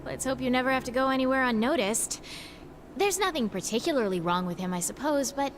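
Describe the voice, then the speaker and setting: A woman's voice: high-pitched, expressive, brisk, a little hiss or hum. An actress voicing a snarky, teasing young woman mage companion in a game. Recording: game audio with music behind.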